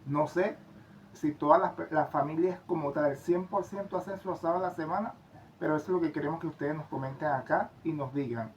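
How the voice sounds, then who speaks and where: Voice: medium pitch, a little fast, lo-fi sound, slight background noise. A speaker from Venezuela, co-hosting enthusiastically with frequent fillers and restarts. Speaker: co-host of travel channel. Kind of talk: casual conversational commentary.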